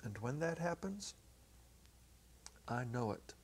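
A middle-aged man giving a measured, expressive dramatic reading of a story as narrator. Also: mid-range voice, a little hiss or hum. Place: small indoor set. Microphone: lapel.

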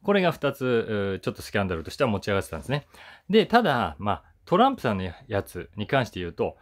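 A man's voice: on the low side, wildly swooping pitch, racing. A man in his forties chatting casually to camera in a musing, conversational way, stressing the starts of his sentences. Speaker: voice actor hosting his own talk channel.

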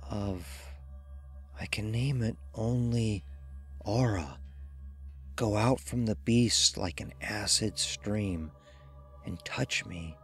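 A man voicing a dying, dread-filled man in a horror audio drama, faltering and drawing out his words as if in a trance. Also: fairly low pitch. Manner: slow, faltering, drawn-out.

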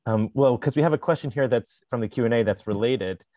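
A man's voice: mid-range voice, fairly quick, warm tone, no echo, lo-fi sound. A man in his thirties moderating a video call interview, asking questions conversationally. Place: small room indoors.

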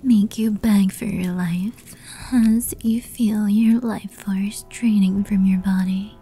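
A woman voicing a seductive, menacing female demon who toys with her prey in a teasing, breathy ASMR roleplay whisper. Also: mid-range voice, fairly even intonation, takes it slowly, mellow, slight background noise. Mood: predatory, seductive.

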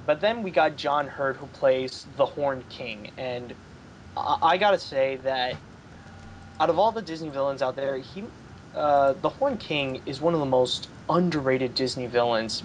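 A man's voice: mid-range voice, moderate pace, a little hiss or hum, poor audio. A young man hosting a casual film discussion over a video call from his home room, rambling enthusiastically with lots of "like" and "pretty much". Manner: enthusiastic, gestures while talking. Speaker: podcast host. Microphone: headset on a video call.

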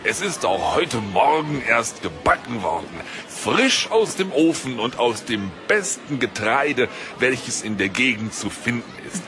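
A man's voice: medium pitch, big pitch swings, a little slow, music in the background. A man playing an ingratiating market baker with eager sales patter in a German audio drama.

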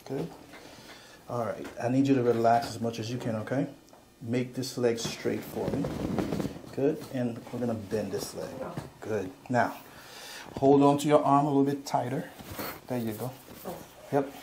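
A man's voice: mid-range voice, steady tone, normal speed, long pauses, darkish tone. Male chiropractor, noisy room. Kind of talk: calm hands-on instruction to a patient. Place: small chiropractic treatment room. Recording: clearly noisy room sound.

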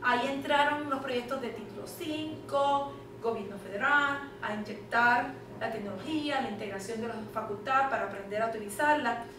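A woman's voice: fairly high pitch, normal speed. A middle-aged woman, speaking as a panel moderator in a fluent, emphatic lecturing manner. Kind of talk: conference presentation. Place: classroom, indoors. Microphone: far camera. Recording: echoey classroom from far off, noisy.

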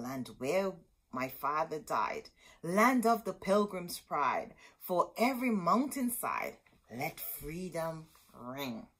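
A middle-aged woman hosting a storytime, reading a picture book aloud expressively with dramatic, deliberate phrasing and asides to her listeners. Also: low-pitched, breathy edge, rough recording. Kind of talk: expressive children's read-aloud. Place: small indoor room with bookshelves.

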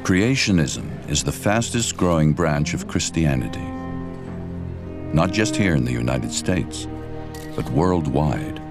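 Very deep-voiced male documentary narrator. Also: lively intonation, unhurried, over music. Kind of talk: scripted narration.

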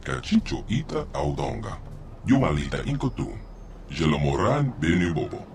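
An actor playing a stubborn alien guide in a video game, speaking an invented tongue in an emphatic singsong. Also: male voice, deep voice, sing-song, moderate pace, slight background noise. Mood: insistent.

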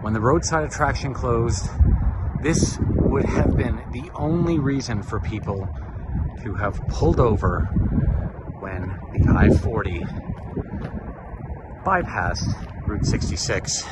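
A man's voice: mid-range voice, expressive, takes it slowly, heavy background noise. A man in his thirties vlogging a walking tour outdoors, chatting casually with wry asides and asking himself questions. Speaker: travel vlogger. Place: outdoors in open desert. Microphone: camera or phone mic, handheld.

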